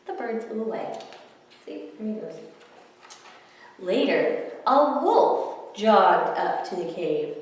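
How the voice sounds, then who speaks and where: Echoey, animated woman reading to children. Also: medium pitch, slow, pauses often. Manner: expressive, animated storyteller with asides to listeners. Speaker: children's librarian, story time reader. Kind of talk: reading picture books aloud to children. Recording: echoey hall, far from the mic.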